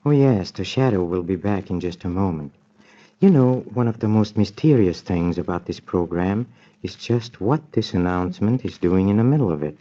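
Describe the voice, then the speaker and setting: A man announcing in scripted 1940s radio style, measured and conversational. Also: deep voice, dark tone. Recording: muffled 1940s radio recording.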